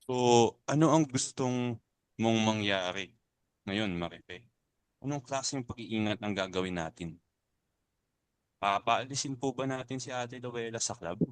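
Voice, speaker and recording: A man's voice: medium pitch, unhurried, long pauses, clear, resonant tone, dry recording, lightly acted. A man with a Filipino accent playing a principled, compassionate club uncle in a dramatic reading. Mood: exasperated. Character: principled older club uncle urging compassion over fear.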